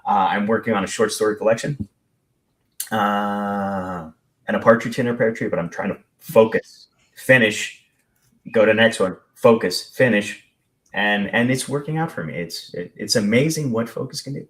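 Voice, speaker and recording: A man's voice: on the low side, moderate pace, rough recording. A man in his thirties from Rico, a Spanish speaker, rambling casually about his writing plans on a video call with a headset. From Puerto Rico. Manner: rambling, self-deprecating, animated with hand gestures. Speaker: author guest. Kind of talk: casual panel chat. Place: home room.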